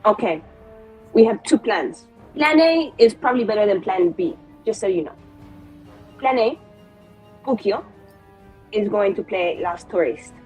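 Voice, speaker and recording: Woman, slightly husky voice, playful, informal, improvised roleplay, faint music behind. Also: medium pitch, lively intonation, unhurried, pauses often, darkish tone, poor audio. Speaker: tabletop game player. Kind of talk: improvised in-character tabletop roleplay. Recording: background music.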